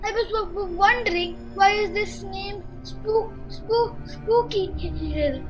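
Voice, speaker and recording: Woman playing a high-voiced, stammering young boy. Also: slow pace, music in the background, low-quality recording. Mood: nervous. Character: nervous young boy Jack, stammering but polite. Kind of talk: amateur radio play acting.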